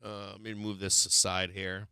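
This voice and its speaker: A man hosting a live stock market show, talking through charts in a loose, unscripted way. His voice is bright and smooth, and the sound is dry and close. He often stalls and restarts mid-sentence as he hunts for the right phrasing. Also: sits low, flat delivery, no echo. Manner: halting, searching for words, frequent restarts. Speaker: host of a stock market livestream. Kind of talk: casual live chart commentary.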